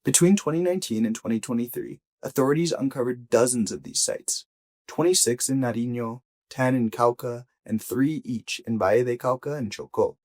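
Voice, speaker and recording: A man reading a scripted audio news investigation as a journalist, with measured, deliberate phrasing and emphatic pauses. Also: mid-range voice, a little mellow.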